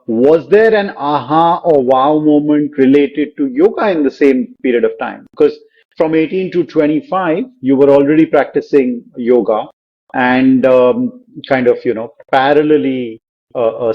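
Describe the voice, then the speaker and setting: A man's voice: medium pitch, unhurried. Male interviewer, inquisitive and searching; the audio is muffled and lo-fi. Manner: curious and probing, circling back to rephrase. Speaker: podcast host. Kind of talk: interview questioning. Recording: dull lo-fi sound.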